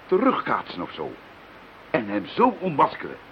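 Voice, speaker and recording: A man's voice: fairly high pitch, wildly swooping pitch, moderate pace, vocal fry, heavily acted. An actor playing a thoughtful, supportive man in a radio thriller. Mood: thoughtful, earnest. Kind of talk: Dutch radio play acting. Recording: dull, hissy radio play recording.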